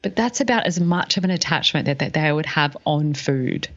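A woman's voice: deep voice, expressive, moderate pace, fairly bright tone. A woman co-hosting a podcast, explaining things in a casual, conversational style on slightly lo-fi audio. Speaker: podcast co-host.

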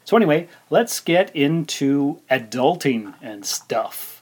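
A man's voice: fairly high pitch, expressive, takes it slowly. A man in his forties hosting a solo podcast, talking informally and thinking aloud as he rambles along. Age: 40s. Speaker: podcast host. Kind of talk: casual solo podcast monologue.

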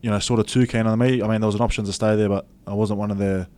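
A young man with an Australian accent giving relaxed, filler-heavy answers as an interview guest. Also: fairly low pitch, very fast. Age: young adult. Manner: laid-back, many fillers and restarts. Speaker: rugby league player, guest.

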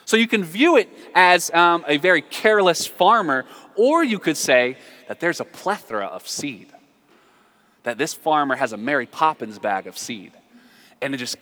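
An American man preaching a conversational, humorous sermon full of storytelling, emphatic and animated, repeating phrases for effect. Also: fairly high pitch. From southern United States. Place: large reverberant room, live before a congregation. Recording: reverberant hall.

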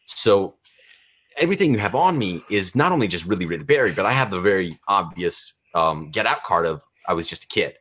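A low-pitched teenage boy who grew up speaking Romanian, calling in to a casual talk show. His delivery is lively and full of restarts, jumping from point to point. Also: brisk. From United States and Romania. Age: teens. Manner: animated, restarts often, rambling. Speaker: caller. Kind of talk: casual chat on a call-in show.

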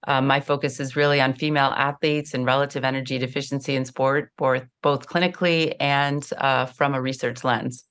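Guest physician: American woman, forties, notably low voice, brisk and confident. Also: a bit bright. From United States. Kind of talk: podcast interview.

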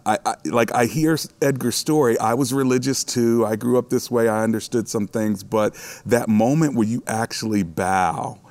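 A man's voice: medium pitch, moderate pace, few pauses, a little mellow. A man hosting a church interview, pastoral and encouraging, turning to address listeners directly. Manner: pastoral, encouraging, prays aloud.

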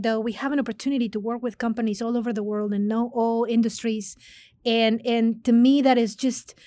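A woman's voice: on the high side, a little mellow, no room sound. Earnest woman, dry sound. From Mexico. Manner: earnest, restarts words often. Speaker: guest, business consulting executive. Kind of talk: conversational interview.

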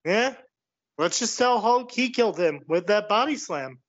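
A man playing a shady, brazen wrestling doctor in a comedic audio play, wordy and deadpan. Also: high voice, normal speed, dull audio. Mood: blunt, unbothered.

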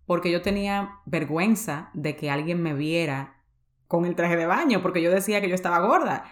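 A woman's voice: lowish voice, moderate pace, reverberant. A young Dominican woman with a Dominican accent hosting her own podcast, telling her story in a candid, confessional way and mixing English words into her Spanish. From Dominican Republic. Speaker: podcast host. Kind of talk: personal podcast monologue.

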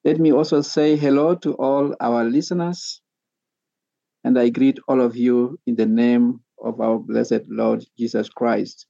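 A middle-aged man from Kenya, a pastor and theology professor, speaking as a podcast guest in a measured, methodical way with frequent pauses. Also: medium pitch, steady tone, gravelly, warm tone, no room sound, muffled recording.

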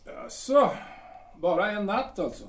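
A man acts out a sardonic, bitter middle-aged artillery captain in an audio drama. His voice sits high for a man, and he speaks with biting mockery. The sound is roomy and a little distant from the mic. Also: expressive, a little hiss or hum. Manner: mocking, sardonic. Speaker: actor.

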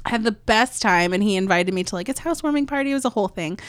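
A woman's voice: medium pitch, rapid-fire, clear, resonant tone, dry recording, studio quality. A young American woman telling a long personal story in casual, chatty talk, full of "like". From United States. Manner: animated, chatty, lots of "like". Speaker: guest.

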